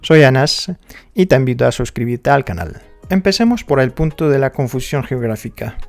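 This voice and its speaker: A man's voice: medium pitch, very animated, moderate pace, gravelly, soft background music. Moroccan-accented man, casual explainer. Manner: conversational, many fillers like "digamos" and "¿no?". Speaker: vlogger.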